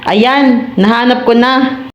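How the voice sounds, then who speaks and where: A woman's voice: a little high, steady tone, slow, dull audio. A woman with a Filipino accent playing a carefree, apologetic sound engineer in a comedic radio drama. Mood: sheepish. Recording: noisy recording with clicks.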